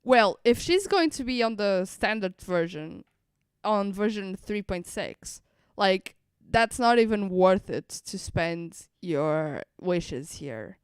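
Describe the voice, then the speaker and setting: A woman's voice: medium pitch, expressive, slow pace, smooth, no echo, studio quality. A woman streamer giving casual live reaction commentary, rambling and repeating phrases as she thinks aloud. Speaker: streamer reacting to a game livestream.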